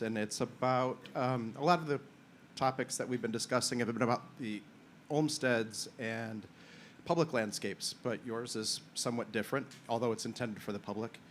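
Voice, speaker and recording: A man's voice: mid-range voice, a little hiss or hum. A man in the audience asking a question, careful and searching for his words.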